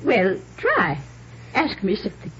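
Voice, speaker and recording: An actress playing a sweetly dotty, chatty, hard-of-hearing retired schoolteacher of about sixty, prim, gossipy and giggly, in 1950s radio drama style. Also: female voice, mid-range voice, huge pitch swings, unhurried. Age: around 60. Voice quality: giggly, fluttery delivery. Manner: gossipy, prim, easily muddled. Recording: muffled, noisy vintage radio recording.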